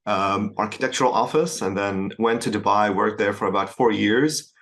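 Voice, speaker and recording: A man from Syria, a founder answering interview questions in a measured way with frequent hesitations and restarts. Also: on the low side, fairly bright tone. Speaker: startup founder, guest.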